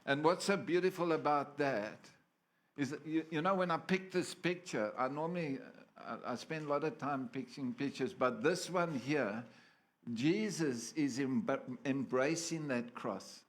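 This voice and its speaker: A man's voice: fairly high pitch, unhurried. A man preaching a sermon in church, playful and full of stories, often asking the crowd for amens. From South Africa.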